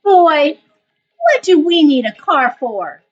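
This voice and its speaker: Lady doing a brisk loving nana from a picture book, chiding, high and swoopy, muffled audio. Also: big pitch swings, soft background music, full character voice. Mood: playful chiding. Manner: chiding, emphatic storybook delivery. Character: wise, spirited grandmother, brisk but loving. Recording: dull lo-fi online recording.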